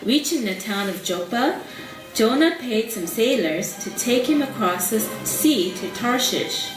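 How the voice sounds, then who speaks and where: Female narrator for a children's puppet show, very lively intonation, simple phrasing, music underneath. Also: on the high side, measured pace, far from the mic. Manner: simple, expressive, child-directed asides. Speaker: storyteller, narrator. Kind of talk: Bible storytelling for a puppet show. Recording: roomy sound with music behind.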